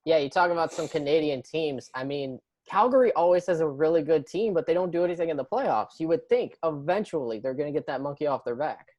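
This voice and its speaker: A man's voice: on the high side, animated, fairly quick, hardly any pauses, a little mellow, muffled recording. A man hosting a sports podcast, chatting casually and putting questions to his guest. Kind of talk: casual interview chat.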